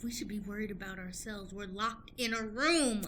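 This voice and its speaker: A woman playing an anxious, excitable young woman in an improvised radio play, dramatic, emphatic and pleading. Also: medium pitch, moderate pace, a little hiss or hum, lightly acted. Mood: worried.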